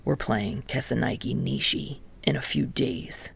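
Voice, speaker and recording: A woman voicing a gruff, terse, intense teenage boy in an audiobook, in a breathy, hushed growl. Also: rumbling low, expressive, unhurried, no echo, pitched below the performer's own voice, heavily acted. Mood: stern. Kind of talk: audiobook character voice.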